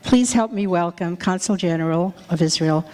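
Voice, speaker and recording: A woman's voice: fairly low pitch, moderate pace, darkish tone. A woman serving as emcee who reads a formal introduction of the next speaker and stumbles over a few words. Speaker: event emcee. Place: large banquet hall. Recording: reverberant banquet hall.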